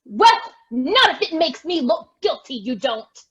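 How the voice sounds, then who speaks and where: Woman acting a high, slow-spoken melodramatic heiress. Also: big pitch swings, highs cut off. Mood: exasperated. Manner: theatrical, exclaiming. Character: defensive, melodramatic estate heiress, bickering with her sister. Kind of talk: amateur stage farce acting over video.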